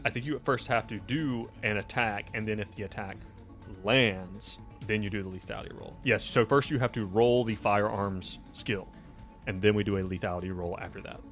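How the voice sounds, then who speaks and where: Low-voiced man running a tabletop game. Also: moderate pace, a bit bright. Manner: informal, descriptive, addresses the player directly. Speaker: game master. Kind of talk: narrating a tabletop role-playing game. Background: music.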